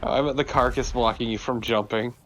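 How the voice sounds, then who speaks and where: A man's voice: mid-range voice, fairly even intonation, brisk, a bit bright, slight background noise. A man co-commentating on a let's play with casual banter, wry, teasing and sweary. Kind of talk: casual banter over gameplay.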